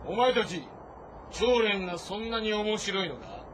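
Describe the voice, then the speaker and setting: A man playing a proud, self-assured warrior general with blunt, rough speech in a dramatic audio-novel game. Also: high voice, a little slow, fairly bright tone, slight background noise. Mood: teasing, confident. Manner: blunt, confident, speaking in rough masculine speech. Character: bold, proud warrior general, gruff and sure of himself.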